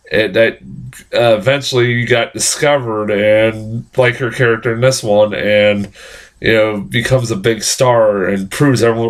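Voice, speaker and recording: A young man with a hoarse, nasal voice from a cold, casually rambling through a film review with lots of fillers and swearing. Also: medium pitch. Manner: rambling, frequent fillers and profanity.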